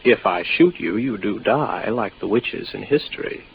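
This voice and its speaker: An actor playing a weary, isolated space officer in a 1950s radio drama, with a wry, deliberate delivery. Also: male voice, fairly low pitch, expressive, vocal fry. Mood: wry, guarded. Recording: dull, noisy 1950s radio recording.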